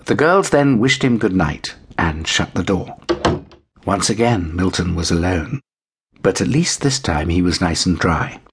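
Low, husky, animated male storyteller. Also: measured pace. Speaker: audiobook narrator. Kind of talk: expressive children's storybook narration.

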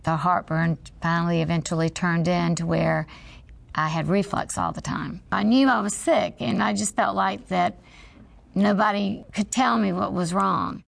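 A woman with a raspy voice telling her own story as a patient, in a halting, reflective way with pauses mid-sentence. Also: fairly low pitch, fairly even intonation. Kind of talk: personal testimony.

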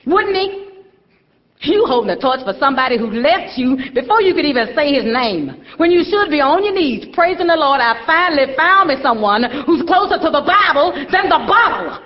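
Strict southern church mama, scolding, voice goes up and down a lot, dull hall sound. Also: female voice, high voice, very animated, moderate pace. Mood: angry, wounded. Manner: scolding, folksy, emphatic. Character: stern, churchgoing, scolding mother of a grown daughter. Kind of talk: stage drama acting.

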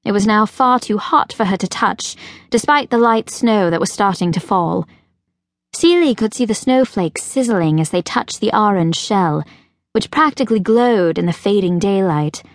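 A woman reading a children's fantasy audiobook as narrator, in a measured, expressive storytelling manner. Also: medium pitch, no room sound, clean studio sound. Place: recording studio.

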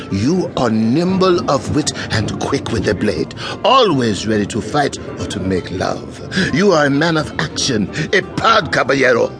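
An actor playing a boastful, hard-drinking Spanish colonial sergeant in an audio drama, bombastic and mocking. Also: male voice, a little high. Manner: bombastic, mocking, laughs loudly at own jibes.

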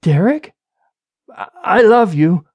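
An anxious wife in an audiobook thriller, performed by a male narrator, pitched well up and swinging widely. She pleads and stammers, worried for her husband. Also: big pitch swings, unhurried, dark tone, slightly rough, no room sound, heavily acted. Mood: tender.

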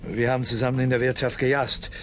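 An actor playing a stiff, disapproving school director in a radio drama. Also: male voice, medium pitch, little pitch movement, quick pace, slight background noise, lightly acted. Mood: matter-of-fact. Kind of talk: German radio drama acting.

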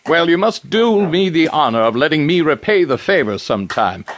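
A polite nosy old west reverend, super animated pitch, gushy and formal, radio play style. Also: male voice, moderate pace, a little hiss or hum. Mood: genial, gushing. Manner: courteous, gushing, formal, inquisitive. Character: genial, nosy, courteous frontier preacher, a newcomer. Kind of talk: western radio drama acting.

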